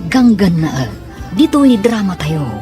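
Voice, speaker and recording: A woman's voice: medium pitch, very animated, slow pace. A woman narrating and announcing a radio drama in a dramatic, scripted style. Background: prominent music.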